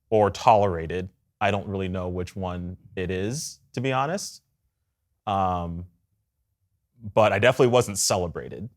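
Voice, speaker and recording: A man talking in a candid, reflective way as a church discussion panelist. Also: fairly low pitch. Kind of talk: candid personal sharing.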